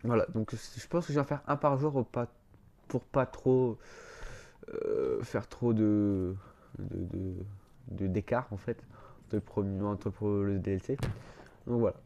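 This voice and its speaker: A man's voice: lowish voice, measured pace, gravelly, darkish tone. A man with a blocked, stuffy nose giving casual gameplay commentary, rambling and hesitant, full of fillers and restarts. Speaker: gaming YouTuber.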